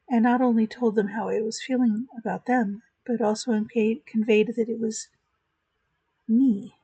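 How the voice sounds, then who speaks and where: A woman hosting a virtual church chat, giving a calm, reflective spoken meditation. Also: fairly high pitch, slightly rough, no room sound, poor audio.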